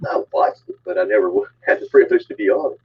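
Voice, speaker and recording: A man's voice: low voice, huge pitch swings, very fast, slightly rough, muffled recording. A man co-hosting a casual live stream, laughing and joking with the host.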